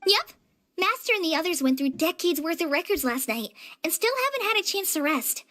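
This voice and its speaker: A woman's voice: extremely high pitch, sing-song, brisk, sharp tone, a little hiss or hum, heavily acted. A woman voicing an earnest, polite, slightly shy teenage girl apprentice in a video game, with an eager manner. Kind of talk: game voice acting.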